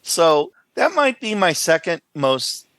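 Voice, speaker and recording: A man's voice: a little high, big pitch swings, slow pace, a bit bright, dry recording. A man co-hosting a podcast in casual chat, musing his way through answers a little hesitantly. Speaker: podcast co-host.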